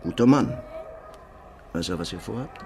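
Low-voiced, grimly knowing local man. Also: expressive, moderate pace, darkish tone, a little hiss or hum. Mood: grave, knowing. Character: knowing male local adviser, grimly authoritative. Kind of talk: radio play dialogue.

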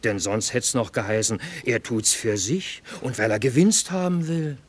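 An actor playing a cynical army cook with a dry, ironic, measured delivery in a radio play. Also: male voice, mid-range voice, animated, natural voice. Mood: dry irony. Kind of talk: theatrical radio drama acting.

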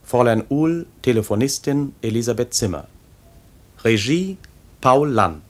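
A man formally reading out a cast list as a radio announcer, in a measured, deliberate manner. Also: mid-range voice, animated.

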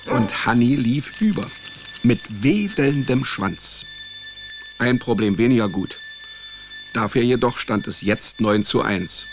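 An actor playing a wry, hapless male private detective, deadpan in his narration and stammering when cornered, in a radio drama. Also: mid-range voice, slow pace, slight background noise. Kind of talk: radio drama, first-person hardboiled comic narration.

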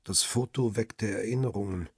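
Reflective paparazzo narrator, very raspy, lowish, dry close sound, radio crime drama. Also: male voice, normal speed, a little mellow, no room sound. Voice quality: husky, rough voice. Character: male paparazzo narrating his own crime story, reflective.

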